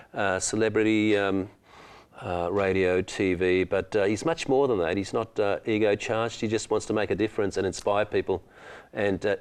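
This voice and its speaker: A man's voice: fairly low pitch, clear, resonant tone. A middle-aged man hosting a TV interview on a studio couch, rambling with many restarts and fillers. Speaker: talk show host. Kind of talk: TV interview chat.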